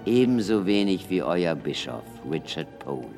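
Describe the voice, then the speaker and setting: Older learned doctor, radio drama, low pitched, measured and formal, reverberant room, faint music. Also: male voice, big pitch swings. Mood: wry, reflective. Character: learned former prisoner, wry doctor recounting his past. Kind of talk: German radio drama acting, storytelling.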